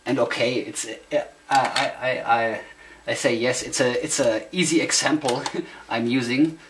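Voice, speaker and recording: Hesitant German man, noisy recording. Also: mid-range voice. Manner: hesitant, self-conscious, searching for English words. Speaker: hobbyist demonstrator. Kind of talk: casual hands-on demonstration. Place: indoor room, beside tape machines. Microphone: camera microphone. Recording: noisy amateur camera sound with clicks.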